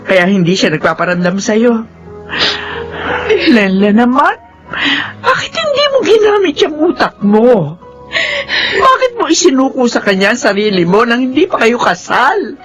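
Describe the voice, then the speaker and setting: A woman's voice: fairly high pitch, big pitch swings, normal speed. An actress playing a worried, scolding middle-aged mother in a radio drama, emphatic and blunt, in a slightly dull recording with music. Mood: dismayed scolding. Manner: emphatic, exclaiming, admonishing.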